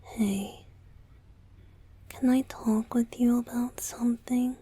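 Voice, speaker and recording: A woman voicing a tender, playful young male pop idol boyfriend in an intimate ASMR roleplay, soft, breathy and often whispering, with a hesitant, affectionate delivery. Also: on the high side, steady tone, no echo. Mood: soft, earnest. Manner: slow, hesitant, affectionate, coy.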